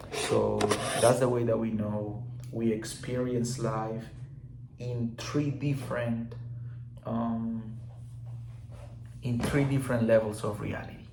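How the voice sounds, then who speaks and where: Man with a gravelly voice. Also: medium pitch, roomy sound, background noise. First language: Spanish. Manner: loose and repetitive, many fillers and restarts. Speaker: teacher of spiritual laws. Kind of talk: casual explanatory talk.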